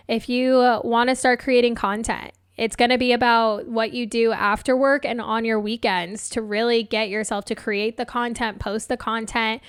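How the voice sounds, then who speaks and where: A young adult woman hosting a solo coaching podcast, chatty and upbeat with lots of fillers, her voice a bit hoarse. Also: fairly high pitch, a bit bright, no echo, clean studio sound. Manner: chatty, upbeat, laughs often, many fillers. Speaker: podcast host and life coach. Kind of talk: casual solo podcast talk.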